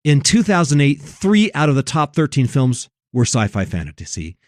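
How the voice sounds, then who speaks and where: Male talk host, mid-pitched with big melodic swings, preachy and emphatic, recorded dry and close. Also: wildly swooping pitch, moderate pace, a little mellow, no echo. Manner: emphatic, preachy, repeats phrases for effect. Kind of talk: religious commentary on film and culture.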